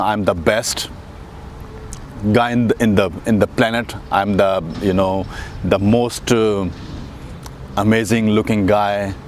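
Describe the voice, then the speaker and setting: A man with an Indian accent rambles through a casual outdoor vlog, his fairly low voice full of fillers and restarts. Faint street traffic hums behind him. He sits outside on a windy day. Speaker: dating coach vlogger.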